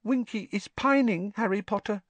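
A narrator voicing a small, anxious, devoted male house elf who speaks deferentially in the third person with a squeaky voice. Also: moderate pace, no room sound, muffled recording, lightly acted. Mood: sad, hushed.

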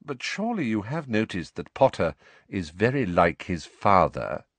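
A man's voice: lowish voice, big pitch swings, moderate pace, no echo, heavily acted. A man voicing a silky, sneering wizard teacher. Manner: silky, sneering, soft-spoken.

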